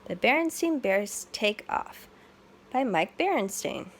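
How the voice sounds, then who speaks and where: A woman reading a picture book to young children in an expressive way, adding her own asides and questions to the listeners. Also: on the high side, measured pace, a little hiss or hum. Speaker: story-time reader for young children.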